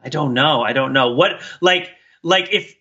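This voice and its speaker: Bright, rambling male podcaster with dull audio. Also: a little high, moderate pace, breathy edge. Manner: rambling, mock-scholarly tangents. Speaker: podcast co-host. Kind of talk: casual comedic banter and riffing.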